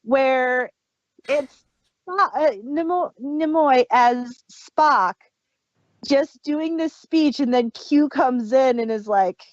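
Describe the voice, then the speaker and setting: Slow-paced, higher-voiced woman podcaster. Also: no echo, muffled recording. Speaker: podcast host reviewing audio dramas. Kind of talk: casual group discussion.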